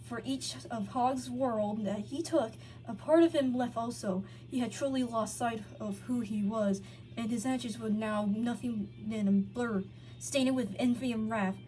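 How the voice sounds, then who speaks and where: A woman with a fairly high voice narrates a dramatic fan story. She reads aloud with occasional stumbles and self-corrections, and there is clear noise on the recording. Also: measured pace, warm tone, poor audio. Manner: stumbles over words and corrects herself. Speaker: story reader. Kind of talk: dramatic reading of fan fiction.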